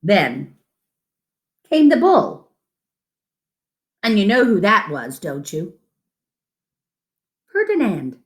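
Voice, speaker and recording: A woman's voice: medium pitch, wildly swooping pitch, drawn-out words, pauses often. A woman reading a picture book aloud to children as a storyteller, with dramatic emphasis and asides to her listeners.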